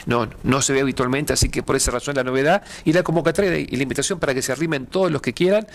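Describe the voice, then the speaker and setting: A man's voice: mid-range voice, very fast. A middle-aged man with an Argentine accent, a pastor being interviewed in a TV studio, speaking hesitantly with many fillers and repeated words. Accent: Argentine Spanish. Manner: gestures with hands while explaining. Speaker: pastor and event organizer. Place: TV studio with dark curtains.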